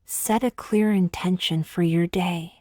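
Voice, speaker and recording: A woman leading a scripted guided meditation in a soothing, gentle, measured way, with long pauses and soft, breathy phrase endings. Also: fairly low pitch, steady tone, no room sound, studio quality.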